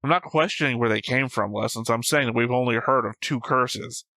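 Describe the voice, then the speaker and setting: A man's voice: medium pitch, fairly quick, sharp tone, no echo. A man co-hosting a reaction show, commenting casually in an insistent, argumentative manner.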